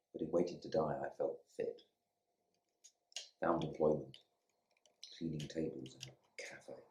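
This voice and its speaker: A man playing a bitter, stiff husband back from war in a dramatic monologue, with clipped, halting, disdainful phrasing. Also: sits low, a little mellow, slight background noise. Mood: brooding, restrained. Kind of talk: dramatic monologue reading from a novel. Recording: roomy indoor echo.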